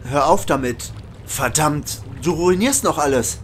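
A man's voice: fairly high pitch, animated, a little slow, slight background noise. A man playing a brooding, conflicted killer who narrates introspectively in an audio drama. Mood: agitated. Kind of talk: German audio drama acting and first-person narration.

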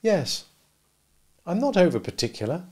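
A man voicing a gentle, sincere young village woman who speaks quietly and mildly, with a sorrowful note. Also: high-pitched, sing-song, moderate pace, pitched above the performer's own voice.

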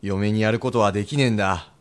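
An actor playing a frank former rake, now master of an Edo kimono store, talking roughly and casually in a period radio drama. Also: male voice, fairly low pitch, steady tone, fast talker, lightly acted. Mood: frank, easygoing.

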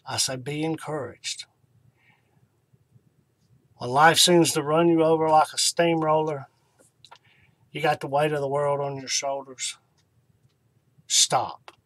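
A middle-aged man hosting an online ministry, talking informally and devotionally to camera in an unhurried way, with emphatic pauses and repeated key phrases. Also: on the high side, steady tone, a bit bright, no room sound.

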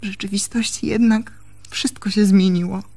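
An actress playing a troubled young woman in a radio play, a devoted but reproachful lover speaking earnestly and haltingly. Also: medium pitch, steady tone, vocal fry, dark tone. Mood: hurt, reproachful. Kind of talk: Polish radio play acting.

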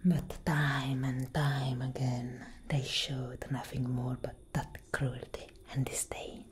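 Woman playing elder vampire sister: low, breathy whisper, very measured, grave and protective, ASMR roleplay. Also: little pitch movement, background noise. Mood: bitter, grave. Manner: slow, intimate, deliberate. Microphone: close microphone.

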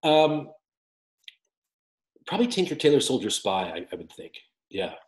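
A man's voice: mid-range voice, sing-song, moderate pace. A man in his fifties, an author guest, answering interview questions on a video call with many restarts and fillers. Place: home room with windows. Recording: roomy echoey call audio.

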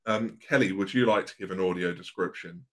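A man's voice: low voice, fairly quick. A man in his mid-thirties hosting a podcast, introducing a guest and asking questions in a friendly, slightly hesitant way with ums and uhs. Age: 30s. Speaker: podcast host. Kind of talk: casual podcast interview.

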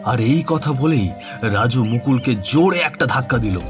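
A man's voice: mid-range voice, lively intonation. A man narrating a horror story in a suspenseful, dramatic manner. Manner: slow, suspenseful, dramatic delivery. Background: background music.

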